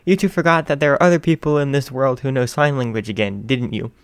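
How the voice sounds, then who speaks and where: A man putting on a booming, teasing radio host. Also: fast, clear, resonant tone, no echo, studio quality, close to an everyday voice. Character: loud excitable male radio host.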